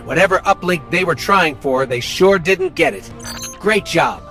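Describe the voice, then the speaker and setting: A man's voice: high voice, lively intonation, measured pace, bright, forceful tone, faint music behind, heavily acted. A man playing a confident, wry squad ally in a sci-fi video game, speaking in a colloquial way. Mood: satisfied, wry. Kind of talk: video game mission dialogue.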